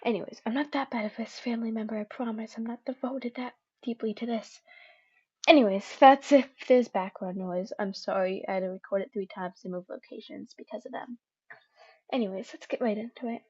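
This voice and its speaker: A woman's voice: on the high side, fairly quick, darkish tone, breathy edge, highs cut off. Chatty young woman reading fan fiction. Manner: chatty, with many fillers and self-corrections. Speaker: podfic reader.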